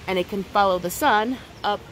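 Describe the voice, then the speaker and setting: A woman's voice: mid-range voice, normal speed, poor audio. A woman vlogger chats in an informal, unscripted way, with a mid-range voice. She explains as she goes, pointing things out like a guide on a home tour. Faint outdoor noise sits behind her. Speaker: gardening vlogger giving a tour. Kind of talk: casual unscripted garden walkthrough.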